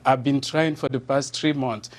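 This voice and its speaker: A man from Cameroon with a French-influenced African accent, speaking emphatically and indignantly as a journalist being interviewed on television, often repeating words haltingly. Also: medium pitch, fairly even intonation.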